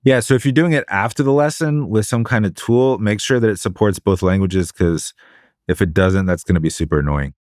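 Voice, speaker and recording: A man with a fairly low voice co-hosts a podcast and talks things through in a measured, explanatory way. The sound is dry and close to the mic. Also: darkish tone, no echo. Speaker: podcast co-host. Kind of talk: casual podcast chat.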